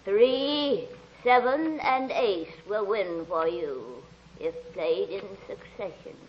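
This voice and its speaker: Dull, faintly noisy vintage radio recording of an old countess's ghost. She speaks slowly and imperiously, stern and solemn, in grand 1940s radio drama style. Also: mid-range voice, animated. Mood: solemn, ominous. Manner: slow, solemn, imperious delivery.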